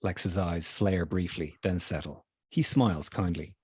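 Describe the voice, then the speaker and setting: Guy narrating a screenplay, raspy, lowish, dry recording, table read. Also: unhurried, a little mellow, dry recording. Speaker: stage-direction narrator.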